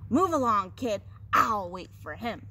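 A woman voicing a grumpy, greedy male troll in a storybook, with a growly, booming, drawn-out delivery. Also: expressive, bright, forceful tone. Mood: greedy, gruff. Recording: noisy outdoor recording.

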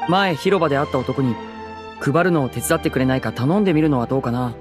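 Man as a gentle, cautious young man. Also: medium pitch, quick pace, natural voice. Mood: suggesting. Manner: gentle, tentative suggestions. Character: soft-spoken, courteous, cautious young man. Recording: music clearly under the voice.

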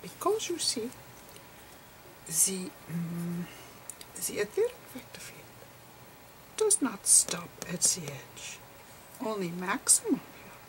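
A woman voicing a gentle, eccentric old male physicist, lecturing haltingly in a murmured ASMR role-play. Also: medium pitch, huge pitch swings, slight background noise, rough recording. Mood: patient, explaining. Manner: halting, whispery, murmured explanations with quaint formal phrasing.

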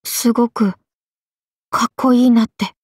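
A woman voicing an earnest, airheaded, stoic young swordswoman in an anime game, speaking in a plain deadpan way. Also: on the high side, a little slow, slightly rough, no room sound, studio quality. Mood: shy admiration. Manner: deadpan, plain, slightly blunt. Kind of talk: anime game character voice acting.

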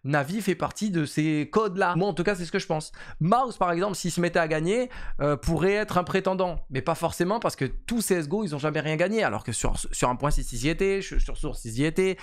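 A man in his thirties giving casual esports commentary to camera from a home streaming room, talking quickly with many fillers and repeated phrases. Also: on the high side. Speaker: esports YouTuber. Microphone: desk mic on boom arm.

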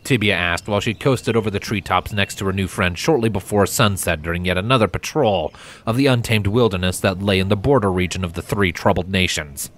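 A man's voice: sits low, brisk, a bit bright. A man reading a scripted fan fiction story aloud as a narrator. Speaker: audiobook narrator.

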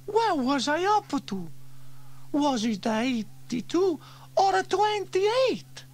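A man voicing an excitable, warm-hearted Italian woman who runs a cafe in a children's story, with melodramatic exclamations and an Italian accent. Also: very high voice, slow, voice pushed up, exaggerated voice. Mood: fretful. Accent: put-on Italian.

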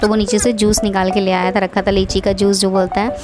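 Woman, middle-aged, vlogger, casual rambling voice-over, average pitch, loud background music. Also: level tone, a little fast. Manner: chatty, rambling, repeats herself often.

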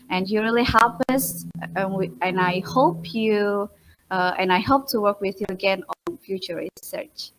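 A woman's voice: mid-range voice, fairly bright tone, poor audio. Indonesian woman presenting over a video call. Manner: halting, with fillers and restarts, polite and grateful. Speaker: midwifery lecturer and researcher. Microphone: laptop webcam mic on a video call.

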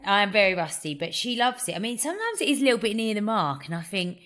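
A woman in her thirties chats from home over a headset in a mid-pitched voice. She tells stories in an animated way, with lots of "like"s and "honestly"s. She sounds relaxed and conversational. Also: fast. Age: 30s. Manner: chatty, animated storytelling with many "like"s and "honestly"s. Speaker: podcast co-host. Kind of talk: casual chat. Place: home, on a video call.

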